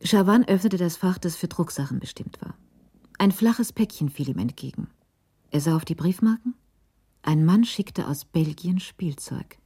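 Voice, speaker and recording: A woman's voice: lowish voice, lively intonation, moderate pace, mellow, breathy edge. A woman narrating a radio crime drama from a script in the role of storyteller.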